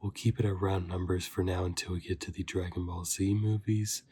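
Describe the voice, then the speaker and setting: A man giving a softly spoken ASMR review as a host, breathy and often slipping into a whisper, chatting informally and rambling like a fan. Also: low voice, flat delivery, fairly quick. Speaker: host of an anime review channel.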